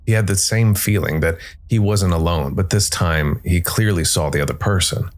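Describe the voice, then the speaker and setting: A man hosting and narrating a scripted story podcast in a measured way, with dramatic pauses. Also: sits low. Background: soft music bed.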